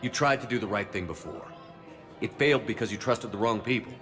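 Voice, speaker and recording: An actor playing a firm, persuasive military commander in a video game. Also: male voice, lowish voice, a little fast, over music, natural voice.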